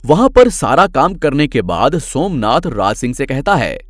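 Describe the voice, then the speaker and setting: A man's voice: medium pitch, very animated, a little slow, no echo, clean studio sound. A man narrating scripted moral tales as a storyteller.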